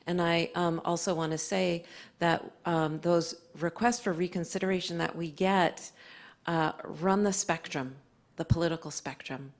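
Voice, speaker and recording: A woman speaking as a librarian on a panel at a library event, talking in a halting way with fillers. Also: on the low side, fairly even intonation. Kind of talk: panel discussion answers.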